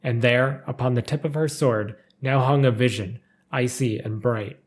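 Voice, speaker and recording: A man's voice: mid-range voice, fairly even intonation, normal speed. Give a man's voice in a middle register, reading scripted lore as a narrator. He tells a game character's backstory in full, written sentences.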